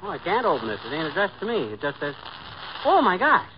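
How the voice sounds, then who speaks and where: A man playing an excitable, boastful husband with slangy, folksy talk and pompous airs in an old-time radio sitcom. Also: fairly high pitch, very fast. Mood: amused, surprised. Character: excitable, corny, boastful husband with big ideas. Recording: muffled, noisy old radio recording.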